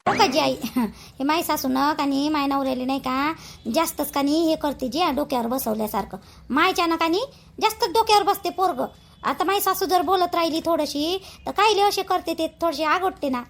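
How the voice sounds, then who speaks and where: A woman's voice: sits high, fairly quick. A woman voicing a gossipy, grumbling daughter-in-law in a village cartoon, speaking rustic Vidarbha Marathi. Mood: complaining. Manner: colloquial, rustic, complaining.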